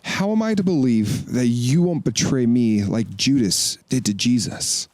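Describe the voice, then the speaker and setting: A wary, questioning biblical disciple voiced by a man in an audio drama. His voice sits at a middle pitch with a dry, close sound. He speaks with earnest intensity. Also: lively intonation, measured pace, darkish tone, no room sound, lightly acted. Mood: bitter suspicion. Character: Thomas, wary, questioning male disciple and builder.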